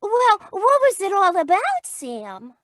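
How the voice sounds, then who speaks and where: A woman's voice: very high voice, wildly swooping pitch, slow pace, fairly bright tone, dry recording, clean studio sound, heavily acted. A woman playing a devoted, naive young detective's secretary who gushes and frets, in old-time radio comedy style. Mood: worried, fussing. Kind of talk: 1940s-50s radio comedy-mystery acting.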